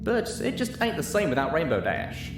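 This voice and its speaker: A frank, homespun female farm pony, as a man reads her, with a Southern US drawl. The voice is lifted and lively, in a storybook telling over music. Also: a little high, moderate pace, reverberant, voice pushed up. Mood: folksy, wistful. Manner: folksy drawl. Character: plain-spoken, down-to-earth female farm pony.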